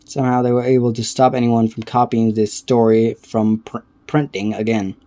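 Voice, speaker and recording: A man giving casual reaction commentary, reading aloud haltingly and stumbling over words between offhand remarks. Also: mid-range voice, level tone, dark tone. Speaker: reaction video creator.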